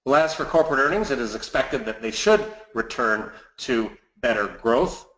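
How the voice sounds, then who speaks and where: Guy doing a scripted finance webinar update, financial advisor type, mid pitch, pretty echoey room. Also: expressive, moderate pace, fairly bright tone. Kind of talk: scripted market commentary presentation. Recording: roomy, echoey sound.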